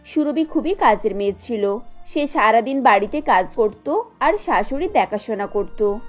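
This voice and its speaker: Woman reading cartoon story narration, really high voice, kinda muffled. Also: a little mellow. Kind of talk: scripted narration. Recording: dull lo-fi sound. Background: faint music.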